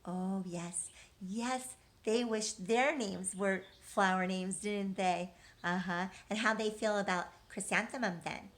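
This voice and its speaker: A woman's voice: mid-range voice, fairly bright tone. A woman leading an interactive read-aloud and lesson for young children, asking questions and answering them in an encouraging, reassuring way.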